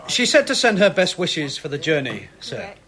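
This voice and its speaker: A man's voice: fairly high pitch, wildly swooping pitch, sharp tone, exaggerated voice. A man playing a dry, reserved police inspector. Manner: measured, dry, restrained. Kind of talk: radio drama acting.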